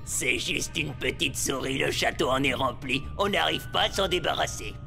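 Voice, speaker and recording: An actor voicing a grumpy, weary assistant to a vampire count in a French cartoon, deadpan and sarcastic. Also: male voice, fairly high pitch, normal speed, creaky, faint music behind, heavily acted. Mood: matter-of-fact.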